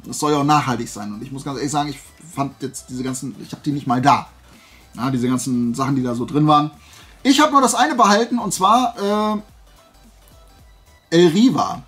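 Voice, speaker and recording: A man's voice: fairly high pitch, fairly bright tone. A man chatting casually to viewers like a vlogger, in a rambling, digressive and self-deprecating way. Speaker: YouTube vlogger showing flea market and fair finds.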